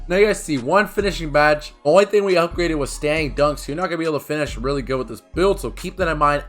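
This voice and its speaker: A man giving a casual, eager game walkthrough with a hoarse, recovering voice, often dropping in "man" and his personal picks. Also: a little high, a bit bright. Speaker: gaming YouTuber.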